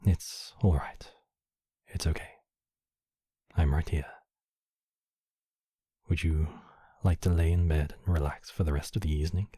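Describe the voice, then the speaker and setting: A man voicing a courtly, possessive demon king, menacing yet tenderly protective, in slow, intimate ASMR roleplay with formal, measured phrasing. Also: a bass voice, fairly even intonation, dark tone, no room sound, clean studio sound. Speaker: voice actor in a roleplay. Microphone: close microphone, dry.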